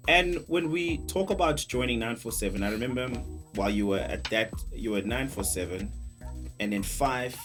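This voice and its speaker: South African man, interview host, casual, agreeable, slangy, medium pitch, light music behind. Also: normal speed, a bit bright.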